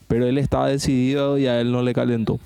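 Guy telling a story on a chill podcast, mellow low-key tone, faint music under it. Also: flat delivery, fast, gravelly, clear, resonant tone, no echo. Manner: storytelling, repeats himself, slangy. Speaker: podcast host. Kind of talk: casual chat among friends.

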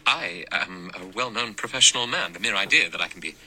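A man doing a calm, self-important doctor. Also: fairly low pitch, slight background noise, rough recording. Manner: calm, quick, matter-of-fact.

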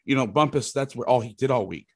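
A middle-aged man with a loud voice, a football coach explaining schemes in loose, rambling chat. His pitch sits at an ordinary middle for a man. The sound is close and dry, with no room around it. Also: fast talker, slightly rough, no echo. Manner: rambling, restarts sentences, occasional mild swearing. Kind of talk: casual podcast chat, explaining defensive schemes.

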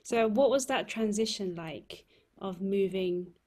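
A woman's voice: mid-range voice, measured pace, darkish tone. A woman interviewing a guest, asking careful follow-up questions and giving frequent short acknowledgments. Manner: attentive, frequent backchannel. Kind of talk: conversational interview.

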